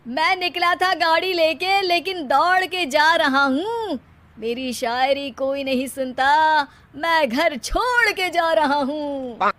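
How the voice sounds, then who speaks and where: An idle young cartoon husband voiced by a woman, theatrical and fond of comic couplets. The voice is high and the delivery drawn out and declamatory. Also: slow pace, a bit bright. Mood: showy, playful. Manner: declaims rhyming couplets dramatically.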